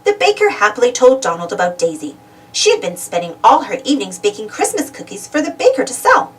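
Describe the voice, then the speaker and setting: A woman reading a children's storybook aloud in an expressive, dramatic storytelling manner. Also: on the high side, a little fast, distant mic. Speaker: storybook reader. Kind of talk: animated children's read-aloud narration.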